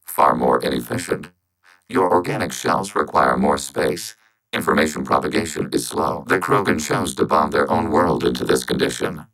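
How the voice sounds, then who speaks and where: An extremely low, gravelly synthetic voice belongs to a calm robot soldier in a video game. It speaks with flat, clipped formality and cool logic, as one collective mind. A machine-like processing coats every word. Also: male voice, full character voice, poor audio. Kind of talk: video game character voice acting.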